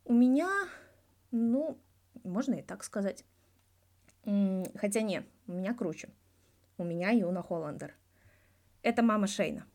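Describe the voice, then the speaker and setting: A woman's voice: mid-range voice, measured pace, pauses often, mellow, close to an everyday voice. A woman voicing a frank, colloquial male hockey star answering in an audiobook interview. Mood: casual. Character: blunt, reflective male Russian hockey star giving an interview.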